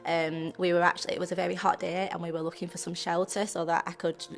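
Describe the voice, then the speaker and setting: Soft-spoken young mother, lowish voice. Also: little pitch movement, quick pace, gravelly, faint music behind. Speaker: interviewee, mother.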